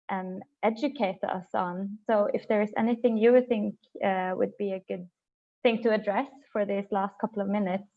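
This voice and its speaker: A woman hosts a webinar in a gravelly, fry-laden voice, putting questions to the guest with tentative, halting phrasing. Her audio is narrow and muffled, like a phone call. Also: mid-range voice. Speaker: webinar moderator. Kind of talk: reading out audience questions, hosting.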